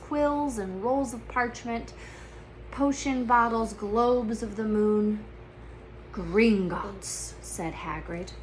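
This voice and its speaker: A woman reading a children's book aloud as a teacher would, in expressive storybook narration. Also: a little high, a little hiss or hum, rough recording.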